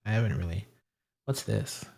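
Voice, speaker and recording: A man's voice: lowish voice, expressive, moderate pace, gravelly, darkish tone. A man hosting a casual live stream, greeting viewers and rambling aloud while he fiddles with settings. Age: adult with a wife and family.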